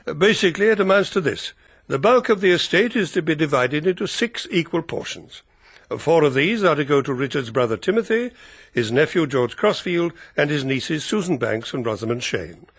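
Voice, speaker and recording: Husky, proper family solicitor. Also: male voice, a little high, animated, normal speed, a bit bright, full character voice. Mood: formal, businesslike. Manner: formal, precise, hesitant, lawyerly. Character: earnest, proper male family solicitor, anxious and careful. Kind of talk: radio drama acting.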